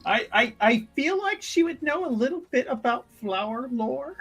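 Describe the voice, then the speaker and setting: A man's voice: very high-pitched, expressive, moderate pace, gravelly, fairly bright tone, breathy edge, faint music behind. A man playing a tabletop game, describing his character's actions in a casual, chatty, rambling improvised way. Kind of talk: casual improvised roleplay talk.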